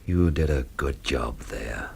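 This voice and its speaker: A young investigator at the centre of a radio mystery. His voice is low and measured, with a cool, dry, sardonic edge in both narration and dialogue. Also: gravelly, slightly rough, full character voice. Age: young adult.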